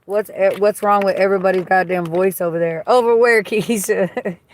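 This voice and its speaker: A woman with a slightly raspy voice hosting a livestream, chatting casually and reading viewer comments in a blunt, gossipy way that is full of swearing. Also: medium pitch, fairly even intonation, moderate pace, a little hiss or hum. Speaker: livestream host.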